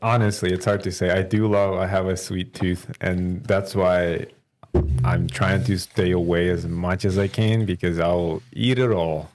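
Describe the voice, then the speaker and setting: A man's voice: low voice, fairly even intonation, a little slow, background noise. A man from Ukraine, a guest in a casual chat, who laughs often and talks with some hesitation.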